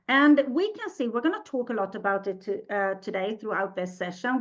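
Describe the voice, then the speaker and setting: This is a woman with a Swedish accent, speaking English as her second language while she hosts a live online webinar. She talks in a chatty, upbeat way with a fairly high voice. The audio sounds a little dull and lo-fi. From Sweden. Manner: upbeat, chatty, reads the live chat aloud. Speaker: webinar host.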